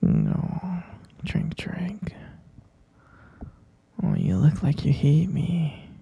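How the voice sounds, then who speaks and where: A man playing an obsessive, doting kidnapper boyfriend in an ASMR roleplay, whispering intimately and coaxingly with pet names in a breathy, gravelly voice. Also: on the high side, huge pitch swings, mellow, dry recording, lo-fi sound, full character voice. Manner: coaxing, repetitive, pet names, slow lingering delivery.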